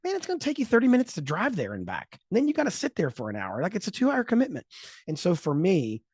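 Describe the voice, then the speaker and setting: Podcast host, 50s, male: lively storyteller, slightly higher voice, bone-dry studio sound. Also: quick pace, husky, no room sound. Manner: animated storyteller, acts out quotes, laughs often. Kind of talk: casual chat.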